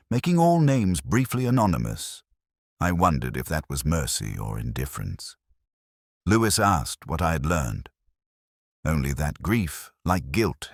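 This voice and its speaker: A man reading a scripted first-person detective story in a measured, brooding, literary manner. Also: rumbling low, dry recording, studio quality. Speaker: narrator.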